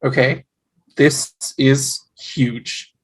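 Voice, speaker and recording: An actor as a slow-talking, wry young husband. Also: medium pitch, level tone, husky, poor audio. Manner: dry, casual.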